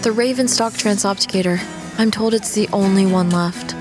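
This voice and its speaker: A woman plays an obsessed, determined artist in an audio drama. Her voice sits at a middle pitch, earnest and confiding, with an insistent edge. Faint music runs behind her. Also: normal speed, close to an everyday voice. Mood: insistent, urgent. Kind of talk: audio drama acting with first-person narration.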